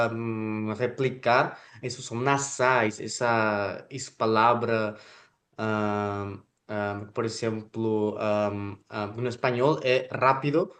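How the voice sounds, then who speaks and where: A man whose first language is Spanish hosts an interview in Portuguese, speaking haltingly with many filler sounds and restarts. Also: on the low side, fairly bright tone. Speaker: interview host.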